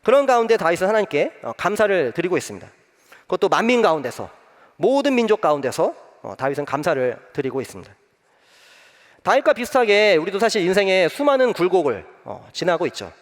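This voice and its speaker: A young man preaching a sermon as pastor, telling a personal story with many pauses and filler sounds. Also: sits high, sing-song, long reverb tail. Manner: gentle, frequent "eo" fillers, warm storytelling.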